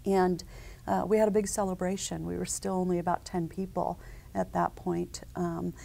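A woman in her forties, a company founder, answering interview questions in a measured, businesslike way with frequent ums and uhs, recorded on a lapel mic at a conference set. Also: lowish voice, flat delivery, creaky, warm tone.

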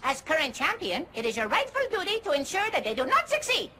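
A man's voice: very high-pitched, wildly swooping pitch, quick pace, sharp tone, slight background noise, full character voice. A man voicing a proud cartoon boy with a thick comic foreign accent, pompous and declamatory in a video game. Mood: pompous, challenging. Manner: pompous, declamatory, quaint phrasing. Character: proud, boastful foreign immigrant farm boy.

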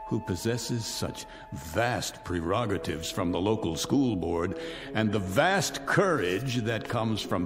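An actor playing a bitter, vengeful millionaire in a radio drama, speaking with sardonic, icy taunts. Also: male voice, fairly low pitch, in a large hall. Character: bitter vengeful male millionaire, cold and mocking.

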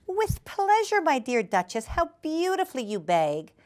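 A woman's voice: sits high, wildly swooping pitch, unhurried, no room sound, studio quality, voice pushed up, heavily acted. A woman voicing a genteel, fussy female cat hostess from a storybook, prim, formal and oversweetly polite, with a severe streak. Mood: gracious.

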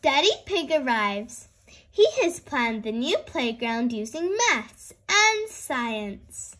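A woman reads a children's storybook in a very high voice. She takes her time, placing each phrase deliberately, and her pitch moves in lively swings for young listeners. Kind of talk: slow, expressive picture-book read-aloud for young children.

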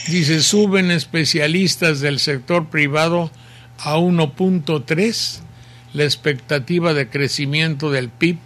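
Older man, Mexican accent, slow rambling radio host, sore throat, slightly higher voice. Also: a bit bright, slight background noise. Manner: rambling, folksy, slow and unhurried. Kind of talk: casual on-air commentary reading messages. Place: home study with bookshelves.

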